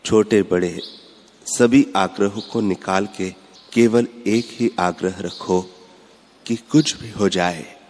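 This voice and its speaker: Slow, deep-voiced man in echoing hall. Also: steady tone, darkish tone, a little hiss or hum. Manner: measured, repetitive, instructive. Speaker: spiritual speaker. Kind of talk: slow devotional discourse. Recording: long hall reverb.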